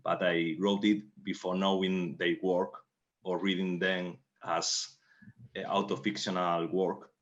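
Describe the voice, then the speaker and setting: A man's voice: sits low, flat delivery, measured pace. A man with a Spanish accent, a native Spanish speaker, giving reflective interview answers and searching for words as he goes. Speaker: playwright on a panel. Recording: dull, lo-fi audio.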